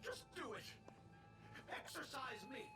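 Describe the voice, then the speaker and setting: A man's voice: extremely high pitch, big pitch swings, measured pace, creaky, exaggerated voice. A man voicing a panicked, pleading, defeated victim in a game trailer. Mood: resigned pleading. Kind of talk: game character trailer acting. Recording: distant voice under loud music.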